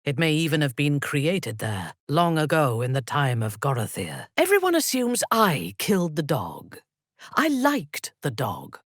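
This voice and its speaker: A ruthless female warrior commander from a fantasy game, speaking low and unhurried. Her cold, commanding words swing widely in pitch, in a dry, close recording. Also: huge pitch swings, dry recording, exaggerated voice. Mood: musing, wry. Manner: measured, commanding, cold, menacing. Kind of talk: fantasy video game voice acting.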